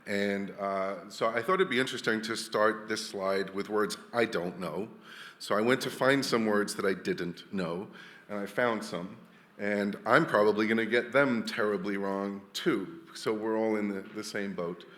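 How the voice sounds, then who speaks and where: A man's voice: lowish voice, normal speed, a bit bright, big-room sound. A man from Wales, giving a chatty, jokey conference talk full of restarts. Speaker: conference speaker. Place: large hall.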